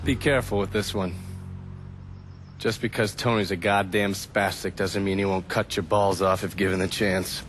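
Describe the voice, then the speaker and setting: A lowish-voiced actor as a cynical informant in a crime game. He is wry and crude, laying things out matter-of-factly, with music clearly playing behind him. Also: little pitch movement, normal speed, natural voice. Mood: wry briefing. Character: cynical, foul-mouthed male informant briefing an ally. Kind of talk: video game cutscene acting.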